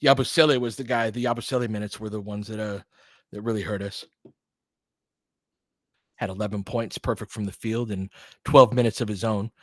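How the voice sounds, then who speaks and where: A man doing live basketball play-by-play and analysis as a host, informal and openly partisan, excitable on big plays. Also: fairly low pitch, fairly quick, pauses often, no room sound.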